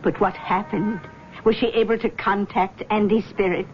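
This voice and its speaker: A woman's voice: medium pitch, steady tone, moderate pace, natural voice. Insistent middle-aged mother played by an actress. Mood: prying. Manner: insistent, pointed. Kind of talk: vintage radio drama acting. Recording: dull, noisy 1970s radio recording.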